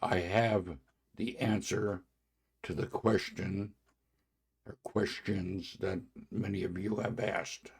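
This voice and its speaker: A hoarse man with a sore throat hosting a podcast, talking through a casual faith testimony in an earnest, halting way with many filler pauses. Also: low-pitched, steady tone. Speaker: podcast host.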